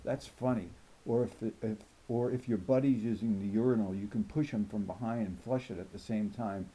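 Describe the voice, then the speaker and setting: A man's voice: on the low side, fairly even intonation, normal speed, dark tone, lightly acted, poor audio. A man voicing a cocky, mischievous fifth-grade boy who tells his own story in a boastful, jokey, matter-of-fact way. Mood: cocky, amused. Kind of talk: first-person children's novel narration.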